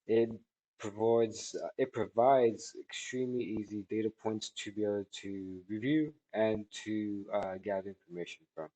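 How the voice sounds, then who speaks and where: Guy in his 30s doing a product review, lowish mellow voice, kinda halting and measured. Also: poor audio. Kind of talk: spoken testimonial answering questions. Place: small indoor office room by a large window.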